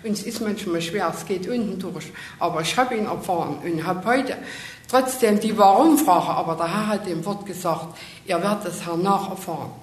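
A woman sharing an earnest, emotional personal testimony before a congregation. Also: normal speed. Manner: earnest, emotional, faith-filled storytelling. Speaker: church member giving testimony. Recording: echoey room with faint rumble.

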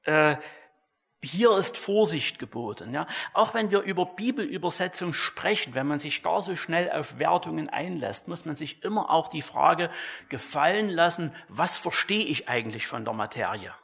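A man giving an explanatory church talk in a deliberate, didactic way, often tagging his points with a short "ja". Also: fairly high pitch, lively intonation, gravelly, fairly bright tone, husky. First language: German. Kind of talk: explanatory church lecture on Bible translation.

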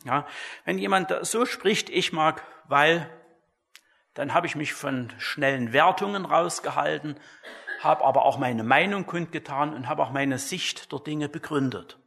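A man giving an explanatory church talk in a deliberate, didactic way, often tagging his points with a short "ja". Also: fairly high pitch, gravelly, pushed and bright. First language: German. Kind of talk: explanatory church lecture on Bible translation.

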